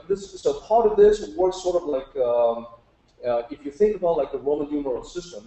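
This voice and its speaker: A man's voice: on the high side, warm tone. A man teaching informally to a group, explaining patiently step by step and putting questions to his listeners. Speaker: teacher giving a public talk. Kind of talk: informal lecture explaining Chinese characters. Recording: roomy, echoing live talk.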